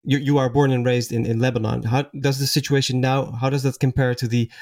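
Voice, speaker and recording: A man's voice: medium pitch, level tone, fairly quick, a little mellow, no echo, studio quality, recorded from a distance. A man interviewing a guest, asking brief questions and prompting her answers.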